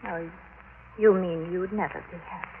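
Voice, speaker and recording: An actress playing a lonely, lovesick spinster heiress in old radio melodrama, hesitant and plaintive. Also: female voice, on the low side, fairly even intonation, moderate pace, lightly acted. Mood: pleading, bitter. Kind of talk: old-time radio drama acting. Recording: tinny, noisy old radio recording.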